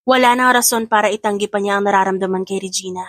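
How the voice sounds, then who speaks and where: A woman's voice: mid-range voice, fairly even intonation, fast talker, a bit bright, dry recording, clean studio sound. A woman with a Filipino accent reading a scripted romance story as an audiobook narrator, in a dramatic storytelling style.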